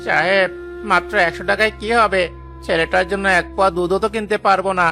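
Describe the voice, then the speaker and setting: A man's voice: high voice, steady tone, quick pace, gravelly, over music. Guy doing a poor humble cartoon fisherman, pleading, plaintive, worn down. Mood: bitter, pleading. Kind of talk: dramatised cartoon dialogue.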